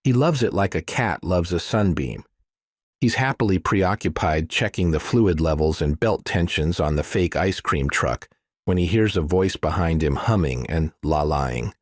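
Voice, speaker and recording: A man's voice: deep voice, animated, normal speed, no room sound, clean studio sound. A man reading scripted thriller narration as an audiobook narrator.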